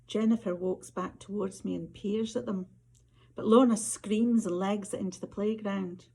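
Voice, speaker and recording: A woman with a Scottish accent reading short stories aloud as an author, her voice husky. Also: medium pitch, fairly even intonation, normal speed, mellow. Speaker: author reading their fiction.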